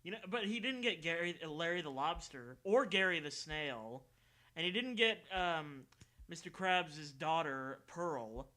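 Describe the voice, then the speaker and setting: Sharp, bright-voiced sarcastic man. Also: a little high, lively intonation, unhurried. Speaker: co-host commenting on the reading. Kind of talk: casual joking commentary.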